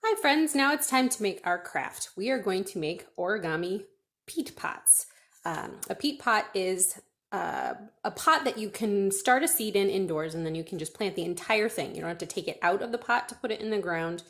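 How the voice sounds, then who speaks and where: A woman's voice: medium pitch, expressive, moderate pace, fairly bright tone. A woman children's librarian reading aloud expressively to small children, prompting them with simple questions. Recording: roomy, echoing indoor recording.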